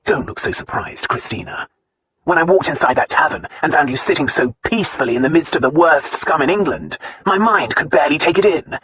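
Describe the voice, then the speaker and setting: A narrator voicing an easygoing, teasing aristocratic husband with a drawling, casual manner. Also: male voice, a little high, lively intonation, brisk, slightly rough, dry recording, muffled recording. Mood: irritated. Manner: drawling, casual, softly amused.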